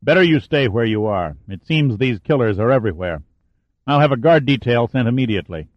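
A man's voice: mid-range voice, animated, a little fast, dry recording, natural voice. A male narrator playing a short-tempered naval admiral who barks brusque orders. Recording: dull, muffled dry recording.

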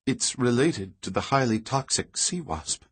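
A man voicing a guarded, tired female research scientist in an audiobook, with a measured, even delivery. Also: medium pitch, husky, pitched above the performer's own voice. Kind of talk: audiobook dialogue reading.

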